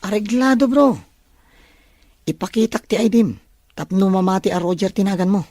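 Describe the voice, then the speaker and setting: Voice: mid-range voice, moderate pace, dark tone, no room sound, clean studio sound, close to an everyday voice. An actor voicing a loyal, eager young male student sidekick in an audio drama. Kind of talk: Filipino audio drama dialogue in Ilocano.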